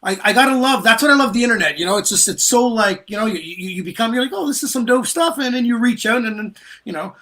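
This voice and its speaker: A man's voice: very high-pitched, lively intonation, fast, bright, forceful tone. A man hosting a podcast interview in a casual, slangy, chatty way, often repeating his phrases. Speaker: podcast host interviewing a music artist.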